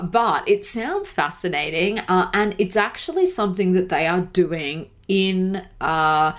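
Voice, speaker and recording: A woman hosting a podcast in a casual, chatty way, sounding a bit congested from a cold, with frequent fillers. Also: fairly low pitch. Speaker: podcast host. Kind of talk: casual solo podcast chat.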